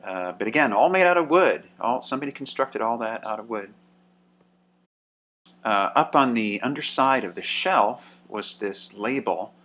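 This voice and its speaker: A man casually narrating a show-and-tell about a vintage radio he restored, relaxed and pausing often with "uh" and "um". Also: mid-range voice. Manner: relaxed, with frequent "uh" and "um".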